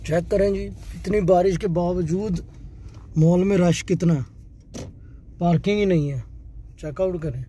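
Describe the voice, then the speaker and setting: A man's voice: high voice, a little slow, pauses often, warm tone. A man in his thirties, a travel vlogger giving a casual tour from a car and then inside a shopping mall, filmed on a handheld phone, often repeating a catchphrase as he points things out. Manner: repeats "check karein ji", chatty tour-guide narration. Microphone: handheld phone camera. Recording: background noise.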